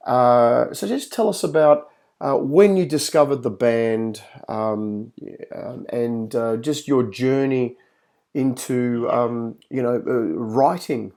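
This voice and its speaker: A man's voice: mid-range voice, takes it slowly, gravelly, darkish tone. A man hosting a podcast, chatting casually and rambling with lots of fillers and restarts over a video call. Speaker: podcast host. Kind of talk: casual chat.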